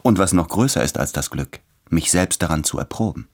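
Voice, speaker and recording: An actor playing a tender, anxious man writing love letters in a radio drama, speaking in an earnest, measured and intense way. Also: low voice, expressive, darkish tone.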